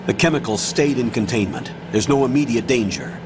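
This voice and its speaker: A man plays a stern military colonel in a video game, giving clipped, authoritative orders. Steady noise hums beneath his lines. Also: medium pitch, fairly quick, close to an everyday voice. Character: commanding older male colonel on the radio. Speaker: mission commander. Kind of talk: video game cutscene voice acting.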